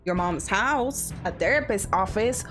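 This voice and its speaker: A woman's voice: mid-range voice, lively intonation, measured pace, music in the background. A woman hosting a reaction channel, recapping a reality show in a sassy, chatty way. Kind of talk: casual recap commentary.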